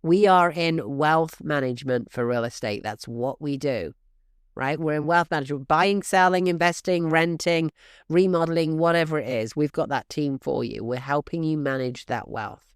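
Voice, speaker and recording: A middle-aged woman hosting a real estate podcast, talking straight to listeners in a brisk, emphatic coaching manner, her voice stuffy and snuffly from allergies. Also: low-pitched, smooth, no room sound, studio quality. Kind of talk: direct-to-listener podcast advice.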